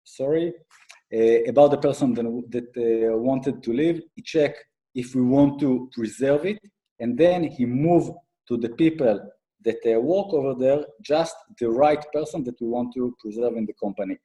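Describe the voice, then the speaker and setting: A middle-aged man with an Israeli accent giving an explanatory business talk as a guest expert, haltingly, with frequent fillers. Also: medium pitch, mellow, low-quality recording. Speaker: guest speaker, IT advisory consultant and accountant.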